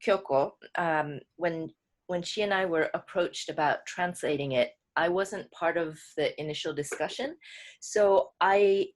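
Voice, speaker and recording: Low-voiced woman talking thoughtfully. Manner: thoughtful, halting, many restarts and fillers. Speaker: co-translator speaking as a guest. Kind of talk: online book club talk.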